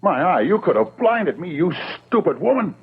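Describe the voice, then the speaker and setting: A man's voice: sits high, very animated, normal speed, husky, exaggerated voice. An actor playing a menacing, suave man who taunts with mock politeness and lords it over others, in old-time radio drama style. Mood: pained fury. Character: menacing, mocking man lording it over his enslaved friend. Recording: muffled, phone-like old radio sound.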